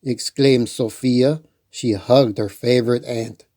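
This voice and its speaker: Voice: medium pitch, steady tone, slow pace, warm tone. A storyteller reading a children's picture book aloud in a playful way, with chatty asides. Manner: playful, acts out dialogue.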